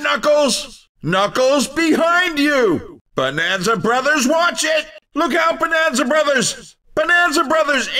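An actor playing an excitable, cheeky male racing game announcer who gives urgent, punchy warnings as race commentary. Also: extremely high pitch, distant mic, exaggerated voice.

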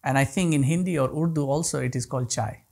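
A man in his thirties, a native Kutchi speaker, teaches a class, his fairly high voice working through each point with frequent restarts and repeated words. He sounds close to a lapel mic in a small indoor room. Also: moderate pace, creaky, a little mellow. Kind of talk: online class lecture answering questions.

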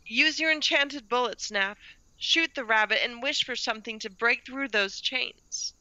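A woman's voice: a little high, a little slow, bright, forceful tone, dry recording, lo-fi sound. A woman playing a cool, commanding demon in an audio drama, terse, dry and wry. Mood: impatient.

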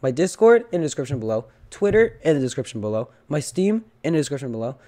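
A young man with a hoarse, cracking voice from illness, chatting casually as he opens loot boxes and pleads for better luck. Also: medium pitch, very animated, quick pace, warm tone. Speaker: gaming channel host. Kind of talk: casual game commentary.

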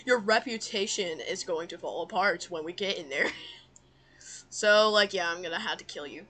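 A woman voicing a hot-tempered cartoon object in an improvised fandub, giggly and halting as she ad-libs mock threats. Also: on the low side, sharp tone. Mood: threatening.

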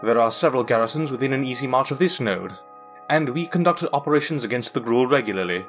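A man playing a gruff, scornful minotaur military commander with a blunt manner. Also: medium pitch, lively intonation, fast, lightly acted. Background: background music.

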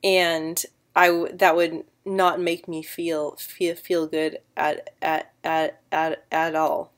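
A woman's voice: deep voice, little pitch movement, slow. Young woman who stutters, talking on webcam. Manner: stutters often, repeating sounds and words; earnest and encouraging. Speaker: vlogger. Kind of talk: casual vlog to camera. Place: living room, seated on a sofa indoors. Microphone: built-in webcam mic.

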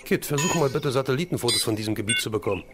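A man's voice: mid-range voice, lively intonation, racing, heavy background noise, close to an everyday voice. A man playing a determined, serious crime-fighting hero in an audio drama. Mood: focused. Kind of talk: German fan audio drama acting.

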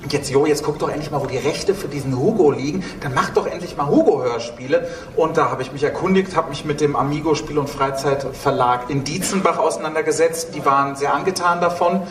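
Higher-pitched man in an echoey hall. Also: fairly quick, few pauses. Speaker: audio drama producer on a panel. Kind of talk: panel talk. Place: on stage in a dark event hall. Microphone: handheld microphone, heard through room. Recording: roomy hall sound, distant, faint noise.

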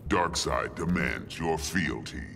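An actor playing a tyrannical alien god in a video game, with a very deep gravelly voice and a slow, imperious, menacing manner. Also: male voice, bright, forceful tone, a little hiss or hum, heavily acted. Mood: commanding, threatening. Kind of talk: video game villain acting.

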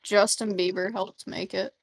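A woman's voice: medium pitch, little pitch movement, moderate pace, gravelly, husky, no room sound, rough recording. A woman playing a game with a friend, laid-back and giggly, chatting casually. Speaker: co-player. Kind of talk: casual gameplay chat.

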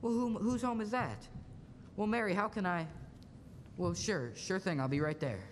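A young man acting an earnest, halting, pleading young loan-office manager in a 1940s-style radio play. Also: lightly acted. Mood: flustered. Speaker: student actor in a school radio play. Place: large school auditorium stage. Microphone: stand microphone. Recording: echoey auditorium with steady rumbling noise.

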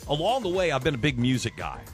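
A middle-aged male radio host with a lively voice that rises and falls a lot. He chats in a casual, self-mocking way, with faint music playing underneath. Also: mid-range voice, fast. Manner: chatty, self-mocking, animated delivery. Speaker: radio morning show host.

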